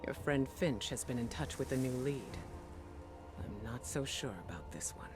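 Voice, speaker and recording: A woman playing a proud, self-doubting spymaster in a video game, serious, measured and controlled. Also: a bass voice, expressive, music in the background. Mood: grave. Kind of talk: dramatic game cutscene dialogue.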